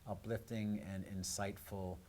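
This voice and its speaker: A man with a fairly low voice hosts a live show. He speaks without a script, introducing things in a halting way. He often pauses on filler words as he goes. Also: flat delivery, darkish tone, slight background noise. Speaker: radio and live stream show host.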